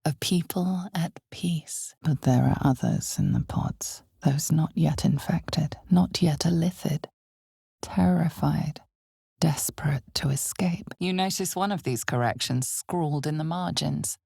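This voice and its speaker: An ominous, all-knowing narrator for a fantasy video game, in a very low, breathy female voice. She speaks slowly and theatrically, often sinking toward a whisper, close and dry as if right at the ear. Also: animated, dark tone, dry recording, full character voice. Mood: solemn, knowing. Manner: measured, theatrical, ominous storyteller delivery. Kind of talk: dramatic second-person fantasy narration.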